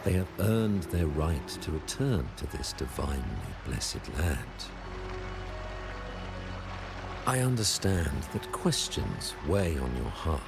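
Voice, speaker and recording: A man playing a stately older high priest in a fantasy video game, speaking in grave, formal, measured oratory. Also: big pitch swings, creaky, music in the background, heavily acted. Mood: solemn, reassuring. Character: solemn, stately high priest of a religious order. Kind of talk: theatrical fantasy game dialogue.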